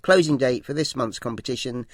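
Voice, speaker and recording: A man hosting a comedy podcast, talking in a chatty and slightly halting way. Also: medium pitch, no room sound. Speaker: host.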